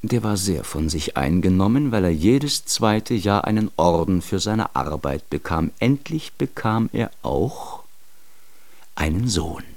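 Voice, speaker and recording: A man with a low voice narrates a children's radio story. He takes his time, phrasing in a measured storyteller's way. The sound is very dry. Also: big pitch swings, darkish tone, no echo.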